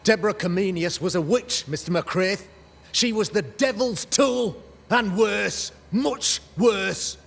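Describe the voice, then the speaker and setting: A pompous preaching governor. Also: male voice, sits high, takes it slowly, vocal fry, a bit bright, heavily acted. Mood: zealous. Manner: sermonizing, grand and preachy. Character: pompous, self-righteous male colonial governor preacher. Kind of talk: video game cutscene acting. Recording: long reverb under game music.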